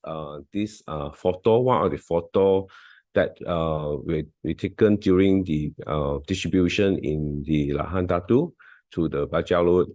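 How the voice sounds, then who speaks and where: A man's voice: low-pitched, darkish tone, no echo, clean studio sound. A man with a Malaysian English accent, not a native English speaker, giving a hesitant volunteer talk with many fillers and restarts over a video call.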